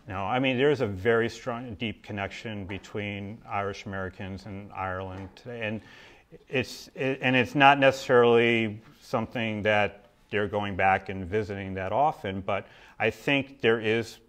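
A man's voice: fairly low pitch, moderate pace, fairly bright tone. A man giving a history talk at a podium in a lecture hall, then taking audience questions, with a conversational manner and frequent restarts.

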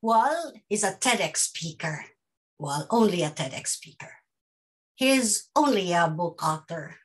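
A woman's voice: on the low side, very animated, measured pace. A middle-aged woman hosting a casual interview on a video call from home, playful and chatty, talking around her points. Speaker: host. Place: home room.

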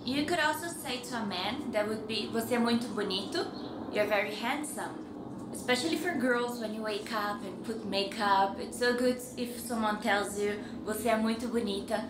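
A young woman hosting a language lesson outdoors, explaining softly with gestures. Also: a little high, moderate pace, roomy sound, background noise. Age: young adult. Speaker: host. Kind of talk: scripted lesson presentation. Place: outdoors by a tree and wall.

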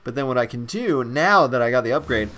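A man hosting a let's play, commenting on gameplay in an excitable, enthusiastic way, his voice raspy and a bit stuffed up. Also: mid-range voice, quick pace, background noise.

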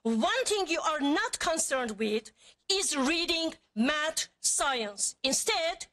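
A woman at a board meeting podium speaking in an accusing, deliberate way. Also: high-pitched, expressive, a bit bright. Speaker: public commenter. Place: school board meeting room.

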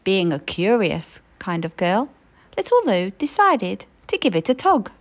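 A woman reading a children's picture book aloud in an expressive storytelling way. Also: mid-range voice. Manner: expressive storytelling, slow and lilting.

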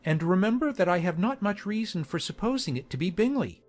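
A woman voicing a genial, formal gentleman colonel in a measured, understated way for a dramatized audiobook. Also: on the low side, very animated, soft background music. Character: genial gentlemanly English colonel, adult man, lightly jesting. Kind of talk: dramatized audiobook character dialogue.

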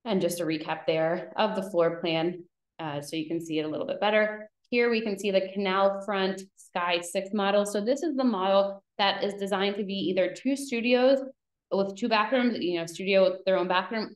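A woman's voice: medium pitch, moderate pace. A woman giving an informal slide presentation on a video call as a real estate presenter, explaining things conversationally. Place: indoor room, video call. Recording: roomy echo on webcam call.

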